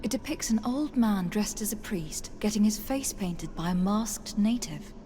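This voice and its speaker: A woman's voice: mid-range voice, lively intonation, a little slow, mellow, close to an everyday voice. An actress playing a composed, diplomatic noblewoman adventurer in a video game, polite and articulate. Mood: curious, studious. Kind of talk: dramatic video game acting.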